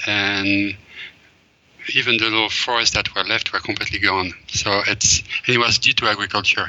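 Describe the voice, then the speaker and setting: A man's voice: on the low side, sharp tone, no echo. A middle-aged man from Belgium, an interview guest who rambles with many fillers and restarts. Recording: dull, dry, lo-fi sound.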